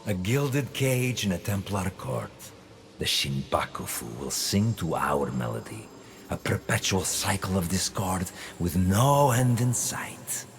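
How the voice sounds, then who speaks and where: An actor playing a cold, calculating villain in a video game, speaking with deliberate, imperious menace. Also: male voice, deep voice, big pitch swings, slight background noise, heavily acted. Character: cold, scheming Templar villain, a calculating man of ambition. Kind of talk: video game dramatic acting.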